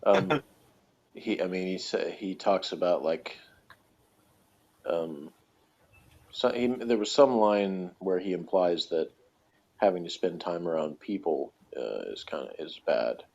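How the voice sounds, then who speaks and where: A man's voice: low voice, lively intonation, takes it slowly, vocal fry, pauses often, a little mellow. A man chatting casually as a podcast reviewer, thinking aloud in a hesitant, hedging way. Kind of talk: casual chat, rambling commentary. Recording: dull lo-fi recording.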